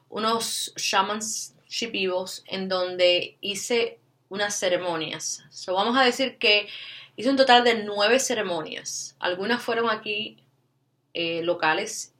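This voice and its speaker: A woman with a Cuban Spanish accent talks straight to her viewers in a bright, ringing voice. She keeps checking in with short tag questions and mixes English fillers into her Spanish as she tells her story. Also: mid-range voice, measured pace. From Cuba. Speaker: vlogger. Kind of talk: personal storytelling to camera.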